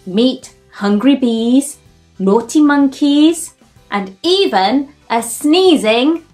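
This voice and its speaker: A woman in her thirties reading a children's picture book aloud at home, gently and expressively, lifting her voice for the characters' lines. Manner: gentle, expressive storytelling with raised-pitch character quotes. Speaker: storytime host. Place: home room with bookshelves, indoors.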